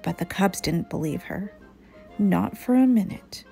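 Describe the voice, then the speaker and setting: A woman narrates a children's storybook at an unhurried pace. Her delivery is expressive and dramatic, with a mellow tone and a little creak. Soft music plays behind her. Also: mid-range voice. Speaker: storyteller reading a children's book aloud.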